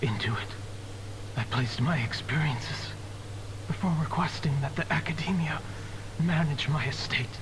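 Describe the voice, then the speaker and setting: A man playing a weary, despairing scholar's lingering spirit in a video game, speaking formally and gravely in measured phrases. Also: fairly high pitch, background noise, natural voice. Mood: bleak, weary. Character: weary, despairing scholar's lingering mind fragment, grandfatherly, solemn. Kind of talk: video game dramatic monologue. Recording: game music behind.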